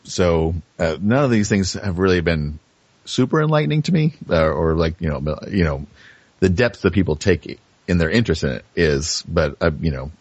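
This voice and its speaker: A man chatting casually as an interview guest, halting, offhand and frank. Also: low voice, lively intonation, darkish tone, slightly rough, no echo, lo-fi sound. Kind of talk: casual chat.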